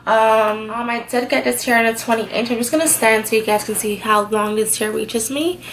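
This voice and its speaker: A woman's voice: on the high side, steady tone, moderate pace, a bit bright, a little hiss or hum, rough recording. A young woman with a stuffed-up nose from a cold, chatting casually as she reviews a wig and filling her talk with "like". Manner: chatty, filler-heavy, lots of "like". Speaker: hair vlogger.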